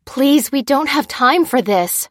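A woman voicing an urgent, commanding woman leading a desperate rescue, forceful and breathless, in audiobook style. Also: sits high, lively intonation, slow, no echo, studio quality, pitched above the performer's own voice. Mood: pleading, impatient. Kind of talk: audiobook character acting.

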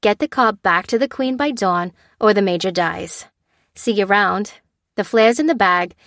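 Woman acting a female villain, ruthless, taunting, commanding, mid-pitched, audiobook drama, dry sound. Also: a little slow, a bit bright, dry recording. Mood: brisk, commanding. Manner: commanding, mocking.

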